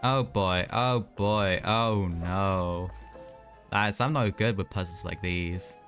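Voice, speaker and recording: A man's voice: low-pitched, slow pace, a bit bright. A young man doing casual live gameplay commentary, chatty and slangy with mild exclamations. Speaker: game streamer. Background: game music.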